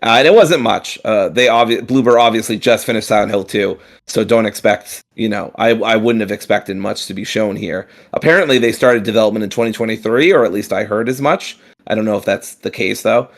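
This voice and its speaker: A man's voice: lowish voice, brisk, hardly any pauses. A man co-hosting a gaming podcast, chatting casually and rambling with frequent fillers, restarts and casual swearing. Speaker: podcast co-host. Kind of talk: casual chat.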